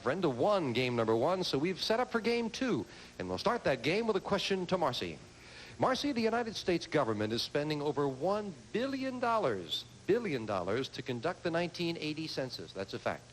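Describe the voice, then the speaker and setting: Lively high-voiced game show host. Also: male voice, a little hiss or hum, poor audio. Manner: joking, upbeat banter with contestants. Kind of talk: broadcast game show hosting.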